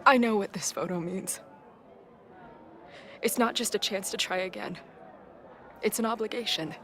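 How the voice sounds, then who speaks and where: An actress playing a guarded, tender woman torn over someone she loves, speaking in a halting, hushed way in a video game drama. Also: mid-range voice, a little hiss or hum, lightly acted. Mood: reluctant, heavy.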